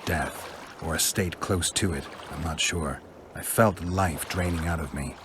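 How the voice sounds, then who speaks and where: An actor playing a calm, gruff monster-hunting mercenary in a video game, speaking in a terse, restrained, dry way. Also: male voice, a bass voice, measured pace, background noise. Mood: sombre, dry. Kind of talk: video game cutscene acting.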